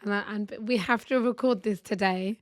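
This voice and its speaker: A woman's voice: medium pitch, fairly even intonation, moderate pace, no room sound, clean studio sound. A woman co-hosting a comedy podcast, her voice strained from losing it, laughing easily and speaking supportively in casual chat. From England. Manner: giggly, warmly supportive. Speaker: podcast co-host, wife.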